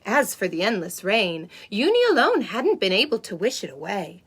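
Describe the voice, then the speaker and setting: A woman's voice: medium pitch, big pitch swings, normal speed, a bit bright, husky. A woman playing a sweet, wide-eyed unicorn who hosts story time for children, with cooing wonder and exaggerated emphasis. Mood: storytelling wonder. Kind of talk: animated picture-book reading for children.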